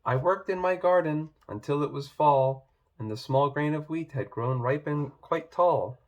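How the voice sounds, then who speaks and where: A man voicing a hardworking, proud and reproachful little red hen in a measured rhyming storybook recitation. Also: fairly high pitch, muffled recording, close to an everyday voice. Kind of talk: children's storybook read-aloud.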